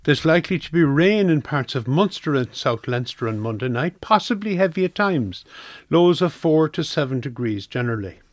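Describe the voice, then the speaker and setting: A man's voice: fairly high pitch, animated, moderate pace, dry recording. Irish man reading the weather, dry sound. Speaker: community radio weather reader. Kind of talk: scripted weather forecast reading.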